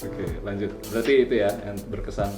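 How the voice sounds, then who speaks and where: A man's voice: lowish voice, little pitch movement, a little fast. A man with a Jakarta accent hosts an interview as casual, teasing banter in colloquial speech. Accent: Jakarta Indonesian. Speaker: interview host. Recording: roomy echo, music behind.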